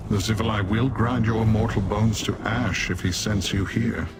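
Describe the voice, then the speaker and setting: A haughty demon warrior from a fantasy video game, played by a man, speaks in a low voice. He is stern, formal and commanding, with music clearly playing behind him. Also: steady tone, close to an everyday voice. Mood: stern, dismissive. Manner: formal, commanding, deliberate phrasing. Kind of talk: scripted fantasy game dialogue.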